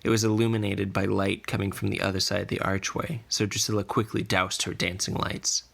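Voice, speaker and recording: A man narrating a scripted fantasy story in a measured, deliberate way. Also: fairly low pitch, little pitch movement, gravelly, a bit bright. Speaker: podcast narrator.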